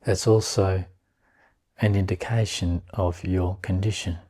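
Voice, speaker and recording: A man guiding a meditation in a calm, unhurried manner, leaving long pauses between short phrases. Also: deep voice, breathy edge. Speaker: meditation guide. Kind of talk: guided meditation.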